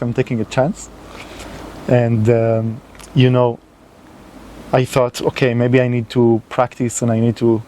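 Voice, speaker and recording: Man talking slowly to camera, mellow tone, halting with restarts, faint hiss behind. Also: mid-range voice, poor audio. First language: not English. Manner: slow, hesitant, with many fillers and restarts. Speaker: channel host. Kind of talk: unscripted solo talk to camera.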